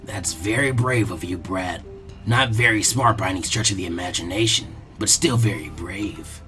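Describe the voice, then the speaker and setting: A man voicing a menacing, mocking male ninja swordsman who talks slowly and condescendingly in a fan fiction audiobook. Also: low voice, bright, forceful tone, soft background music, voice pushed down. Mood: taunting.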